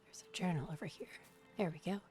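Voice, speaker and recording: Sick woman streamer with a squeaky voice. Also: quick pace, over music. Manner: chatty, mutters and whispers to herself. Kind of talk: casual gameplay commentary.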